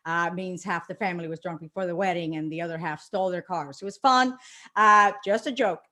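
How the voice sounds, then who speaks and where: Energetic woman giving a keynote. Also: fairly low pitch, a little fast. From Puerto Rico. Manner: energetic, animated, addresses the audience directly. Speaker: keynote speaker. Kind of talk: motivational speech with jokes.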